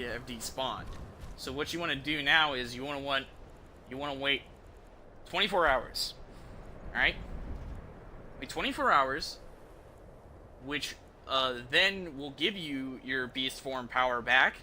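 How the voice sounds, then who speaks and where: A man's voice: fairly high pitch, bright, forceful tone, a little hiss or hum. A young American man giving casual gameplay commentary as a streamer, rambling with slang and swearing over game music.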